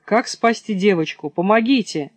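A man's voice: high-pitched, moderate pace, no echo, pitched above the performer's own voice. A man voicing a timid but brave young hare, polite and agitated as he speaks. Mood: desperate, determined. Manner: polite, agitated, apologizing even while insulting.

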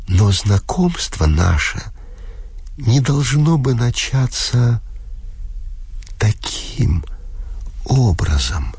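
A man's voice: medium pitch, vocal fry, darkish tone, slightly rough, no echo, pitched above the performer's own voice, full character voice. A man voicing a reserved young woman reading out her formal, politely reproachful letter in an audiobook.

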